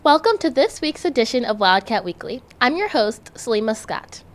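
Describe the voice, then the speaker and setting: A woman hosting a school news show, reading scripted introductions in an upbeat, clear way. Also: a little high, big pitch swings, normal speed, faint music behind. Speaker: student news host.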